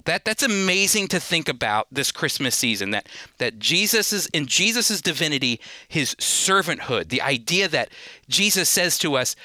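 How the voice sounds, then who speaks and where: A man's voice: a little high, sharp tone, no room sound. A man giving an earnest, emphatic radio Bible teaching, addressing listeners directly as friends.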